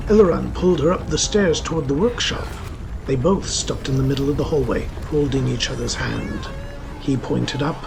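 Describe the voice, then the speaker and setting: A man narrates a scripted fantasy tale in the role of storyteller. His voice has a creaky, fried grain at a middling pitch, and music plays clearly behind him. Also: normal speed, poor audio.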